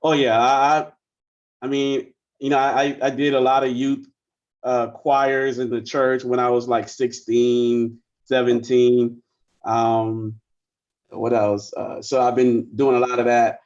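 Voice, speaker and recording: A man in his forties who grew up in the Bahamas, speaking as a guest musician in a relaxed, meandering way. His voice sits at an ordinary middle pitch. The sound is dull and lo-fi, as if slightly muffled. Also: slow. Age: 40s. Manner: relaxed, rambling with many fillers. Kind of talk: casual interview chat.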